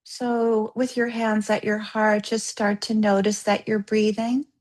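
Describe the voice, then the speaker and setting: Female yoga therapist for guided relaxation: mid-range voice, very slow delivery, soothing and gently instructive, leaving space between phrases. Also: mid-range voice, flat delivery, poor audio. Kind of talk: guided yoga nidra meditation.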